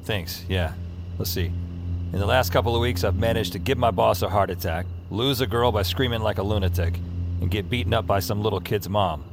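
An actor playing a weary, self-mocking noir hero, narrating in the first person in an audio drama with a dry, wry manner. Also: male voice, on the low side, brisk, creaky, noisy background. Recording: voice over music.

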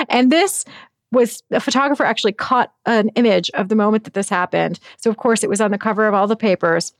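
A woman, a magazine editor, talking in an animated, chatty way as a guest in a news interview. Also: fairly high pitch, normal speed, no room sound, clean studio sound. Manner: animated, chatty, frequent "like" and "I mean".

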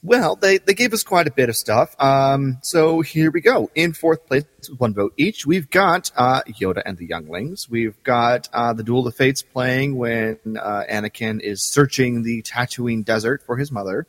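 A man's voice: mid-range voice, lively intonation, unhurried, few pauses, dry recording, long reverb tail. A man co-hosting a podcast in a casual, conversational style. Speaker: podcast co-host. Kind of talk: casual podcast chat, reading out listener results.

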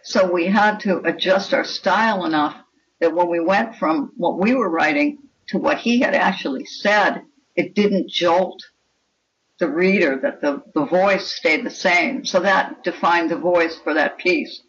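A woman's voice: lowish voice, a little slow. A woman speaking as a guest author in a reflective interview, emphatic and opinionated in the way she talks. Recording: dull lo-fi call sound.

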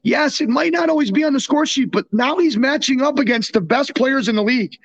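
A man's voice: very high-pitched, a little fast. A North American man co-hosting a sports podcast, chatting casually in an informal, buddy-like way. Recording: dull, lo-fi remote audio.

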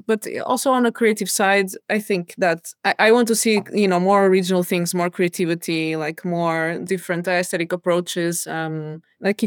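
Woman, slightly low voice, hesitant and conversational, dry close sound, interview guest. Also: no echo. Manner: hesitant, rambling, thinking aloud. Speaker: sound designer, podcast guest.

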